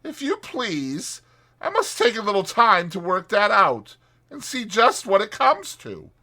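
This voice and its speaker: A man's voice: high voice, wildly swooping pitch, measured pace, sharp tone, voice pushed up, heavily acted. A man voicing a boastful, pompous toad haggling in a wordy, indignant manner in a children's storybook. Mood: affronted. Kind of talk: dramatized children's storybook reading.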